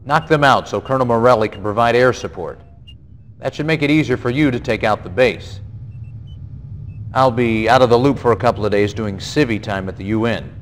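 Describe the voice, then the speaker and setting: A brisk military general played by a male actor delivers orders in a video game briefing. He is authoritative and clipped, wasting no words. The old game audio carries some light background noise. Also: mid-range voice, close to an everyday voice. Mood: stern, pressing. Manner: clipped, authoritative, matter-of-fact. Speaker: military commanding officer.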